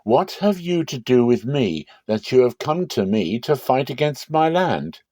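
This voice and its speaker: A man's voice: medium pitch, very animated, a little slow, warm tone, no echo, pitched above the performer's own voice. A man reading as a proud, declaiming warrior chieftain. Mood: indignant. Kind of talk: dramatic Bible reading.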